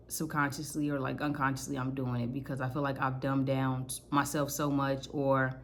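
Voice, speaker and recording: A young woman with a naturally deep voice, rambling candidly through a personal vlog and cursing casually. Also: fairly bright tone. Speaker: vlogger.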